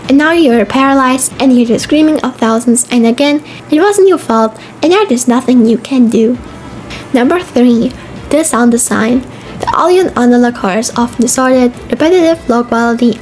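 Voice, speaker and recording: A young woman with a fairly high voice narrates a video essay. Her delivery is casual and informal, read from a script, and music plays faintly behind her. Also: a little mellow, rough recording. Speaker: video essay host and streamer. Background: background music.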